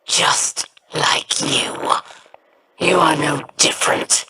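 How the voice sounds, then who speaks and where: A woman's voice: low-pitched, level tone, slow, background noise. An actress voicing a contemptuous alien pirate queen in a video game, with a cold, scornful manner.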